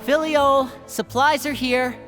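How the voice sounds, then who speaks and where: An actor playing a polite, smooth-talking young man in a video game, courteous and reassuring as a protective brotherly guide. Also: very high voice, fairly even intonation, slow pace, music in the background. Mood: cordial. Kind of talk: video game cutscene acting.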